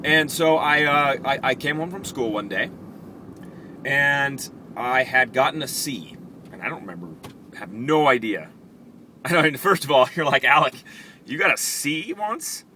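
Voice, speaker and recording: A man hosting a casual vlog, telling personal stories in an animated, reflective, conversational way. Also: mid-range voice, a little slow. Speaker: vlog host. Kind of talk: casual personal storytelling to camera. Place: in a car. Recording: clear vehicle and street noise.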